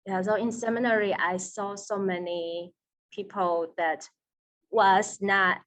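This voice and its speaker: Slow-spoken woman with a Taiwanese accent. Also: mid-range voice, steady tone, fairly bright tone. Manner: slow and careful, with some non-native grammar. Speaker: seminary student, interview guest. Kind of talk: interview over video call. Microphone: video call.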